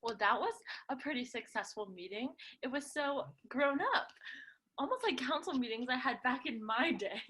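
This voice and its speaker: Cheery storybook grandma, read by a woman, bright, high-pitched, giggly and folksy. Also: lively intonation, moderate pace, breathy edge, exaggerated voice. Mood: pleased.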